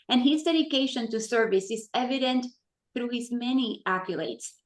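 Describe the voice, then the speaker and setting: Woman, somewhat high voice, formal prepared introduction, echoey room. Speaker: event chair and host.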